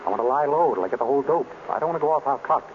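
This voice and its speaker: Suave sleuth played by a man, breezy and authoritative, very animated delivery, 1940s-style radio drama with audible hiss. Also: mid-range voice, racing, close to an everyday voice. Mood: breezy, scheming. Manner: breezy, urbane, in command. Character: suave, wry male detective hosting a dinner of suspects. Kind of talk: old-time radio drama acting. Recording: noisy, tinny vintage radio broadcast.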